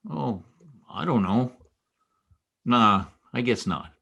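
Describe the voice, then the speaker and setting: An actor playing a wisecracking, world-weary private detective in a noir radio drama, wry and sardonic. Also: male voice, mid-range voice, expressive, takes it slowly, gravelly, pushed and bright, full character voice. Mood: casual, wry. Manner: wry, sardonic, clipped tough talk. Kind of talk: old-time radio noir acting and first-person narration.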